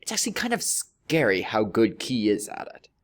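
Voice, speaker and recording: Wry male reviewer with swooping, lively intonation. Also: lowish voice. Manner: wry, deadpan joking with emphatic pauses. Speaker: video reviewer. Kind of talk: scripted review narration.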